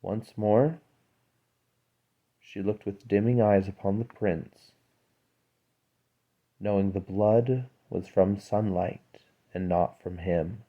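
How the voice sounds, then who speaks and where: A man reading a fairy tale aloud as a storyteller, in a measured, dramatic way. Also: lowish voice, fairly even intonation, narrow, tinny audio.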